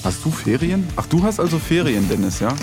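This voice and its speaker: A man's voice: fairly high pitch, sing-song, fairly quick. Teasing male podcast host over music. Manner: playfully teasing. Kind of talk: casual chat, signing off.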